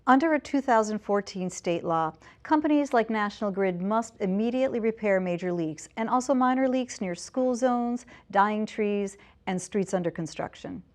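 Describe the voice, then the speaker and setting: A woman's voice: medium pitch, moderate pace, few pauses. A woman with an American accent reads scripted local news as an anchor.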